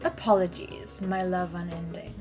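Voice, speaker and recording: A woman's voice: fairly low pitch, flat delivery, moderate pace, a little mellow, soft background music, natural voice. An actress playing a blunt, dry-witted female tavern keeper of the afterlife.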